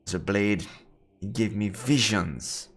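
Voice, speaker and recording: A man streaming a visual novel, reading the story aloud as dramatic narration with a halting delivery that stumbles over words. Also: fairly low pitch, a little hiss or hum. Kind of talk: dramatic narration of game text. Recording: reverberant, echoey room sound. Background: game music.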